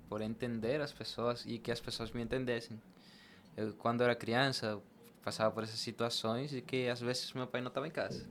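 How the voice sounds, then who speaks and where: A man's voice: mid-range voice, fairly bright tone, slight background noise. A young man from Latin America, telling his personal story conversationally as a podcast guest.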